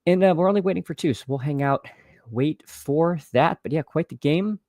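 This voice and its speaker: A man with a slightly froggy, hoarse voice chatting casually as a fantasy football livestream host, haltingly repeating phrases as he thinks aloud. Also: on the high side, a little mellow, no echo, clean studio sound. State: slightly hoarse, froggy after losing his voice at a game. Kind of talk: casual livestream chat and sports commentary.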